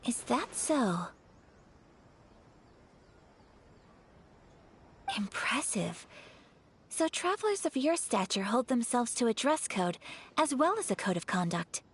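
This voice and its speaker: An actress playing a polite, earnest young noblewoman in a video game, speaking in a formal, measured and reserved way. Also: on the high side, very animated, a little hiss or hum. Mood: polite, curious. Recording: game music behind the voice.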